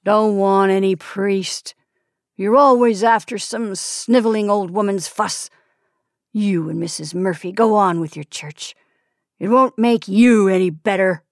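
A bitter dying man snaps out scornful refusals, loud and gruff, as a woman reader puts him on. The voice is close and dry, with no room sound. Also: mid-range voice, a little slow, no echo, close to an everyday voice.

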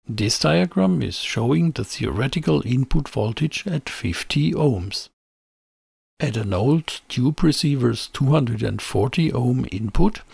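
A middle-aged man reading a scripted technical tutorial in a measured, explanatory way into a close microphone. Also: medium pitch, creaky, darkish tone, husky, dry recording. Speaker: presenter of an electronics tutorial channel. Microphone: close voice-over microphone.

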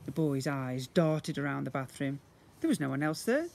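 Expressive man reading a children's story aloud. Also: mid-range voice, moderate pace, gravelly. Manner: expressive, acting out sound effects. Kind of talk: animated read-aloud storytelling for young children. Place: outdoors, beside brick houses. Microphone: phone or camera at about arm's length.

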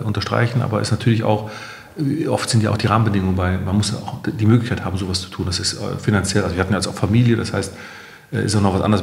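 A man's voice: on the low side, creaky. A middle-aged man speaking conversationally as a guest in a podcast interview. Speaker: interview guest, company founder. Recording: roomy, echoey sound.

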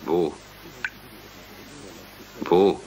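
An actor playing a dazed disembodied brain waking in a tank, speaking in halting fragments in a German radio drama. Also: male voice, warm tone. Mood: dazed, confused.

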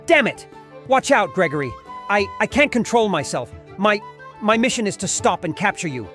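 A man plays a courteous robot bear in a cartoon dub. His voice sits on the higher side for a man. He is anxious and protective, often hesitant and stammering, with music behind him. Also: sing-song, moderate pace, a bit bright. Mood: struggling, alarmed. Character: polite, anxious male bear animatronic, protective and apologetic. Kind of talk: comic dub character acting.

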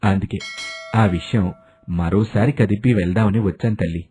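A man's voice: lowish voice, normal speed, dark tone, voice pushed down, close to an everyday voice. A man voicing a friendly older village man, an affectionate elder brother in a moral story. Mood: earnest, affectionate.

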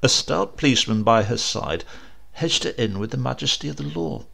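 A man narrating a horror story in a theatrical, expressive way, with deliberate drawn-out pauses. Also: fairly low pitch, vocal fry, breathy edge. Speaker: audiobook narrator.

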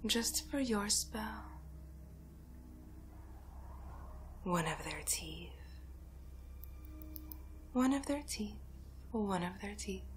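A young adult woman playing a vengeful, soothing witch casting a spell in a breathy whisper, as an ASMR fantasy roleplay. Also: mid-range voice, a bit bright, slight background noise, natural voice. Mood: secretive, sinister. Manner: slow, incantatory, repeating phrases. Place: bedroom, indoors.

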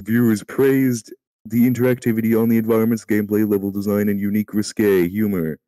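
A man's voice: on the low side, little pitch movement, a little fast, background noise. A man reading an encyclopedia article aloud, stumbling over words and swearing casually at his slips. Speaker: amateur reader in a group voice call.

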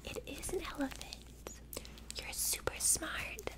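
A young woman in an ASMR roleplay as a childcare worker, talking gently and simply to a small child with lots of questions. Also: unhurried, rough recording. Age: young adult. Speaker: ASMR creator playing a preschool childcare worker.

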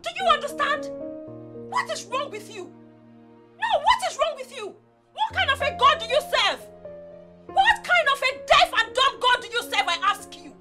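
A Nigerian-accented actress playing a scornful young wife who shouts and taunts. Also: female voice, very animated, moderate pace, long pauses, music in the background. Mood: mocking. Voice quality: shrill, scolding.

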